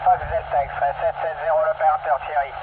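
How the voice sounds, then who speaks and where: A man speaking as an amateur radio operator over a radio transmission, in halting, courteous procedural jargon. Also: on the high side, flat delivery. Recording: narrow, noisy long-distance radio signal.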